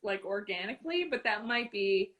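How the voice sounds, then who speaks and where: A woman's voice: medium pitch, lively intonation, normal speed, a bit bright, dull audio. A woman hosting a casual interview, upbeat and encouraging, laughing easily. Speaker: interviewer host.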